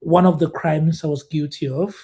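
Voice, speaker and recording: A man in his thirties from Cameroon, a guest telling his life story in a relaxed interview, full of fillers and restarts. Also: a little high, moderate pace, slightly rough. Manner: hesitant, many fillers and restarts, laughs often.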